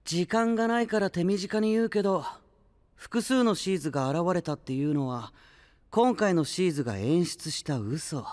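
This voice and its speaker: An actor playing a calm, clever young male knight in an anime-style voice drama, cool, confident and lightly mocking. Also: sits high, normal speed, no room sound, clean studio sound. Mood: confident, explaining.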